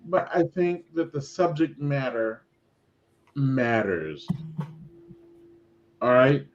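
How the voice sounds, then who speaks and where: A man's voice: mid-range voice, animated, slow. A man in his forties, a guest on a casual group stream, rambling and stumbling over his words, with occasional swearing. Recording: dull lo-fi call audio.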